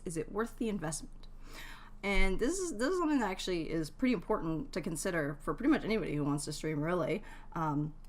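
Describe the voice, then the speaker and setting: A woman streamer chatting casually to her viewers from home in an informal, giggly, chatty way. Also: mid-range voice. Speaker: game streamer. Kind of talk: casual livestream chat with viewers. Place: at home, in a shared space.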